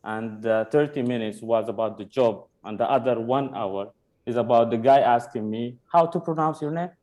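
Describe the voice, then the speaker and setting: Male comic from Sudan, non-native English, halting, pause-filled delivery. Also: medium pitch, darkish tone, lo-fi sound. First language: not English. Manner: halting, many "uh" pauses, searching for words. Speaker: stand-up comedian. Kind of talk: stand-up set.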